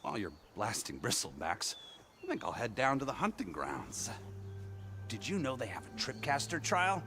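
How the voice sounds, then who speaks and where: A man's voice: medium pitch, very animated, normal speed, gravelly, over music. A man acting an easygoing, friendly hunter in video game dialogue, speaking casually. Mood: breezy, casual.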